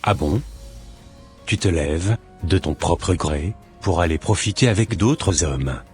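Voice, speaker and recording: A man playing a suspicious, impatient husband in an animated drama, speaking in a deliberate, formal way with a husky voice. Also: low voice, faint music behind. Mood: accusing, indignant. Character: suspicious, impatient husband waiting for his unfaithful wife.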